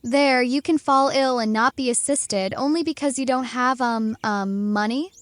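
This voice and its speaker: Woman playing a high-voiced, naive young stranger. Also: moderate pace, dry recording, close to an everyday voice. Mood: puzzled. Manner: formal, stilted, questioning. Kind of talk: dramatized comic dialogue.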